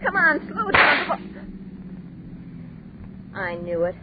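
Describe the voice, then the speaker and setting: An American actress playing an exasperated, weary, wisecracking young traveler in a 1940s radio drama. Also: female voice, sits high, sing-song, drawn-out words, heavily acted. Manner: complaining, sarcastic. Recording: tinny, noisy 1940s radio recording.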